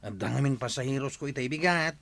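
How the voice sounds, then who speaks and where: A man's voice: medium pitch, quick pace, gravelly, a bit bright. A man playing an easygoing, dutiful village watchman in an Ilocano radio drama. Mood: earnest.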